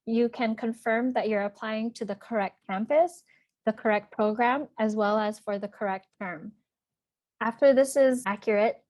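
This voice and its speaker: A woman's voice: mid-range voice, a little mellow. A woman presenting for a college outreach office, narrating a scripted tutorial with plain step-by-step instructions.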